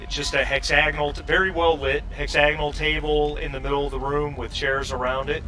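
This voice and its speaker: A man narrating a tabletop role-playing game as game master, describing scenes in a halting, improvised way. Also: on the high side, little pitch movement, pushed and bright. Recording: distant mic with clear background noise.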